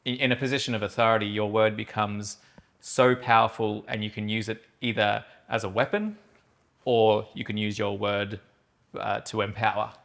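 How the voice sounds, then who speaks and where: A man in his thirties hosting a podcast, agreeable and quick to affirm his guest. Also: fairly low pitch, flat delivery, gravelly, fairly bright tone. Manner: agreeable, frequent short affirmations. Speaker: podcast host. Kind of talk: casual interview.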